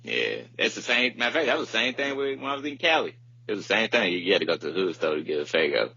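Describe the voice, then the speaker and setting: A man's voice: on the low side, big pitch swings, rapid-fire, creaky, sharp tone, breathy edge. A man in a casual podcast chat, speaking in an emphatic, slangy way, repeating himself and swearing freely. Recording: dull lo-fi audio.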